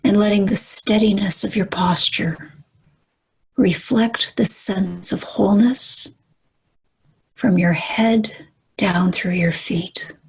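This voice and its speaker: A woman guiding a meditation in a soft, breathy voice, calm and soothing, with measured phrases and pauses. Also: mid-range voice, low-quality recording. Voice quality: soft, breathy, at times near whisper. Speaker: meditation guide. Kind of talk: guided meditation.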